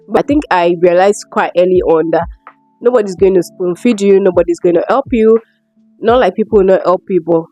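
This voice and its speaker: A woman's voice: on the low side, warm tone. A young woman with a Nigerian accent chatting casually to camera as a vlogger, informal and given to emphatic repetition. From Lagos, Nigeria. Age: young adult. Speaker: vlogger answering viewer questions.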